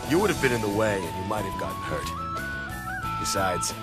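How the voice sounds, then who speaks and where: A man's voice: fairly low pitch, big pitch swings, fairly quick, over loud music. An actor playing a proud, bold middle-aged warlord and father in a video game, declamatory and commanding. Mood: calm, resolute. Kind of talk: dramatic English video game cutscene acting.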